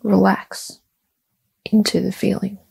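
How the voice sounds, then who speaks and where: Woman, meditation guide, calm and soothing, unhurried pace, flat even intonation, deliberate pauses, soft instruction. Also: medium pitch, creaky, husky.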